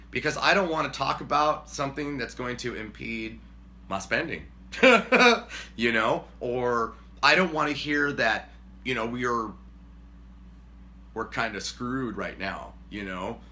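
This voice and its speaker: A man in his forties chatting casually at home on a couch, rambling and self-deprecating, with a booming voice when worked up. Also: expressive, a little slow, sharp tone, slight background noise. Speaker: co-host, husband. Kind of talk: casual chat. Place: living room couch, indoors.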